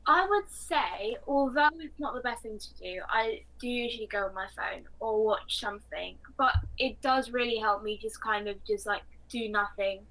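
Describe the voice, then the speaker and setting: A woman's voice: a little high, moderate pace, few pauses, sharp tone, low-quality recording. A fourteen-year-old girl with a London British accent, talking casually and at length as an interview guest. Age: 14 years old. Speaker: interview guest, motivational speaker.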